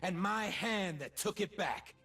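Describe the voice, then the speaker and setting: A man's voice: sits high, unhurried, creaky, sharp tone, faint music behind, exaggerated voice. A man voicing a cocky, flamboyant bird-man warrior in a video game, vain and mocking, with a theatrical, teasing delivery. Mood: grave defiance. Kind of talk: video game character voice lines.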